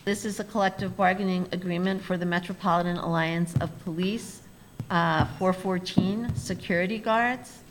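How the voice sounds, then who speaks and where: A woman reading out board agenda items in a steady, formal way. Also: on the low side, moderate pace, background noise. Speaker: university staff presenting agenda items.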